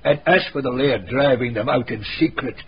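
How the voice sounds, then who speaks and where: Actor as a grave Scottish villager, muffled. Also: male voice, mid-range voice, moderate pace, lightly acted. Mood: grave, earnest. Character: grave, plain-spoken Scottish village man. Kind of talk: radio drama acting. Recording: muffled radio recording, faint noise.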